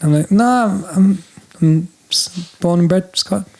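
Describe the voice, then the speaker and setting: A man's voice: sits high, a little slow, gravelly. Scottish-born man with an English-sounding accent. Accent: English-sounding British. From Scotland. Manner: animated, swearing, laughs while telling stories. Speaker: podcast guest. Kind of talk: casual storytelling.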